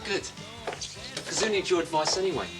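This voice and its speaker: A man's voice: a little high, over loud music, close to an everyday voice. An actor playing a young male radio DJ in a TV drama who answers back in a cocky, touchy way. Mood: defiant. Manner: quick, cocky back-talk. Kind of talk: 1980 TV drama acting.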